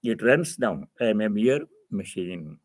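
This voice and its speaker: Man giving a measured spiritual discourse. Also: on the low side, big pitch swings. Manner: didactic, repeats phrases for emphasis. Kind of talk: spiritual discourse, reading and explaining scripture.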